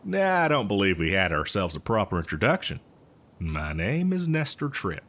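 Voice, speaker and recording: Man playing a rambling, animated eccentric botanist. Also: on the low side, heavily acted. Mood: brisk, dismissive. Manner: hesitant, rambling, folksy, with chuckles and drawn-out words. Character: eccentric, cheerful, rambling botanist, survivor from before the apocalypse. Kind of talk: roleplay audio drama monologue addressed to listener.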